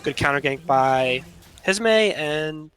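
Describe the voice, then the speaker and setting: A man's voice: fairly high pitch, animated, slow pace, a bit bright. A man giving casual live analysis and banter as a commentator on a video game match.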